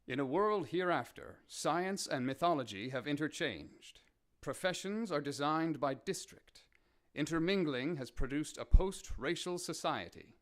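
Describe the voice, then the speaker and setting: A man's voice: a little high, animated, measured pace, soft background music. A man acting as a solemn, theatrical narrator introducing a radio drama with poetic scripted narration. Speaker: announcer narrator.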